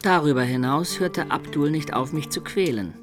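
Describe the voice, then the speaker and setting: An actor playing a lovesick young medieval student who recounts his life in a poetic, measured radio drama style, with hushed asides. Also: a little high, over music, natural voice. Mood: wry. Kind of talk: literary radio drama narration.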